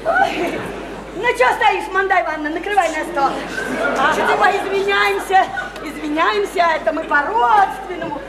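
An actress playing a brash, boozy, chattering widowed house painter, flirty and slangy, in a radio play. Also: female voice, extremely high pitch, moderate pace, roomy sound, crowd noise, noisy background. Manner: flirty, teasing, folksy and slangy. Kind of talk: theatrical radio play acting.

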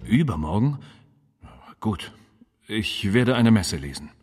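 Very deep, husky, slow priest. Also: male voice, big pitch swings, a little mellow, soft background music, exaggerated voice. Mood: solemn. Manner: slow, hesitant, measured. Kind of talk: radio crime drama acting. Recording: long reverb, like a church.

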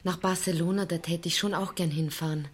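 A woman's voice: lowish voice, steady tone, a little fast, slightly rough. An actress playing a good-natured young office girl who tells stories in a German radio drama. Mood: wistful, storytelling.

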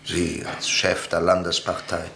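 An actor playing an ironic, proud professor turned politician who talks dryly and briskly in a radio drama. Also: male voice, sits low, completely flat delivery, gravelly, bright, forceful tone, slight background noise, exaggerated voice.

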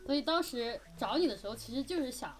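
A woman's voice: sits high, lively intonation, fast talker, crowd noise. A woman hosting a casual interview as one of the production team, chatting with the guests. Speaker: host, production team member. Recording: clear music behind the voice. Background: music.

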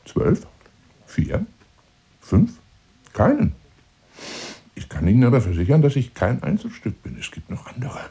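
An actor playing a pompous, lonely hunter seducing a woman in a radio drama monologue, grandiloquent and insinuating, moving between hushed and declaimed phrases. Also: mid-range voice, wildly swooping pitch, a little slow, gravelly, long pauses, slightly rough, heavily acted. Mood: insistent, teasing.

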